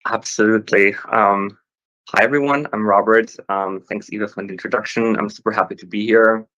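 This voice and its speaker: A man answers panel questions in a lowish voice, measured and a little hesitant. The sound is dull and lo-fi, like a replayed stream. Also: steady tone, sharp tone. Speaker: marketing lead on a panel. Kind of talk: corporate panel discussion.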